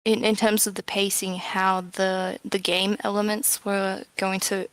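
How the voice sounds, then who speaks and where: A woman's voice: mid-range voice, level tone, unhurried, smooth, no echo, low-quality recording. A woman being interviewed as a game developer, chatting casually in a hesitant, thoughtful, self-effacing way.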